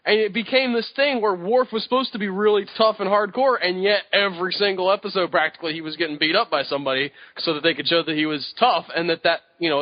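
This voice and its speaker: A man's voice: on the high side, dry recording, muffled recording. A man co-hosting a podcast, chatting casually with a wry, teasing manner. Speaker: podcast co-host. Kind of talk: casual chat.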